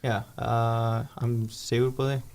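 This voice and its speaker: A man, the band's founder, talking in a relaxed, unhurried way in a radio studio. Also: mid-range voice. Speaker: band founder guest. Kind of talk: casual interview chat.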